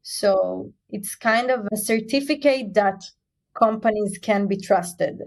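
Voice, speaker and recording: Female expert guest, native Spanish speaker from Chile, medium pitch, explains and restates points in interview answers. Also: slow, gravelly. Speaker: guest, head of information security.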